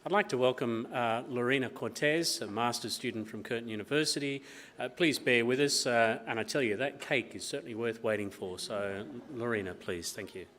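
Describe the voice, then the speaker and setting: A man's voice: medium pitch, fairly quick, a bit bright. A man hosting a live event, introducing speakers in a friendly way with many filler sounds. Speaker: event host, MC. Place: event hall with audience. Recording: reverberant hall with crowd noise.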